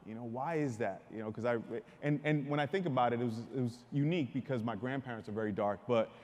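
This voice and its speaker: A man's voice: medium pitch, fast talker, darkish tone. Man from the Dominican Republic in a reverberant hall. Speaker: panelist. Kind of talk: panel discussion. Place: large hall, live audience.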